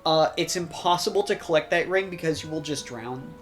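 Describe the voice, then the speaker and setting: A podcast co-host chatting casually about games in a halting, explanatory, nerdy way. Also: mid-range voice. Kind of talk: casual gaming podcast chat. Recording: music playing under the voice.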